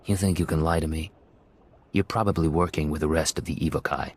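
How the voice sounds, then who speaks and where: An actor playing a cold, ruthless undercover agent who speaks tersely. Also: male voice, a bass voice, steady tone, fairly quick, slightly rough, long reverb tail.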